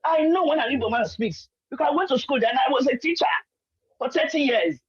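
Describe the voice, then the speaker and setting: A woman's voice: high-pitched, lively intonation, brisk, husky. An older Nigerian woman with a Nigerian accent, arguing politics as a caller, insistent and talking over the host. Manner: insistent, talks over the host, repeats herself. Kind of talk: heated political call-in argument. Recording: muffled lo-fi line.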